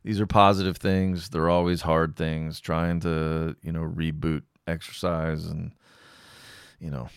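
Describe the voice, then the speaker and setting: A middle-aged man with a calming voice, hosting his own podcast in a relaxed, rambling way with self-deprecating humor. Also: very deep voice, a little slow, smooth, dry recording, clean studio sound. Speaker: podcast host. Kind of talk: casual solo podcast monologue.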